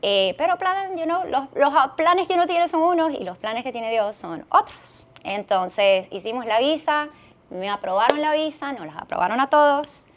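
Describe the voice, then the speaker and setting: A woman's voice: high voice, expressive, normal speed, muffled recording, a little hiss or hum. A woman in her thirties with a Venezuelan accent, telling a personal story conversationally as a podcast guest.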